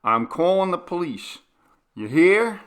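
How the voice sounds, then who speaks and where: A man voicing an angry, coarse male rent collector in a storybook reading, barking abuse and demands in an indignant, shouting manner. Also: high-pitched, big pitch swings, slow pace, clear, resonant tone, voice pushed up, heavily acted. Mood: fearful, hostile.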